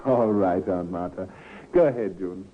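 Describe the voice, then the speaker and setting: An actor playing an affable, reassuring cousin in an old-time radio drama, with a breezy manner. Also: male voice, sits low, unhurried. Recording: noisy, narrow-band vintage radio recording.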